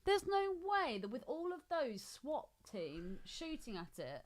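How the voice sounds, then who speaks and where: A woman's voice: high-pitched, wildly swooping pitch, measured pace, a crowd in the background. A woman bantering casually as a podcast co-host.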